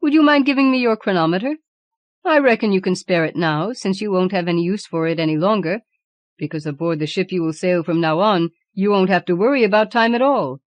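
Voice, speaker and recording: A woman's voice: mid-range voice, lively intonation, fairly quick, no echo, close to an everyday voice. A woman voicing Death as a male skeleton in a storybook, garrulous, boastful and formal in his storytelling. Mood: pleased, reasoning.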